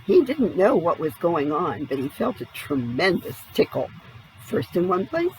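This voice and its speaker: Animated woman reading a children's story. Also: lowish voice, measured pace, slight background noise, rough recording. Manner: dramatic, asks the listener questions. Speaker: storybook reader. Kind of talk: expressive children's story narration.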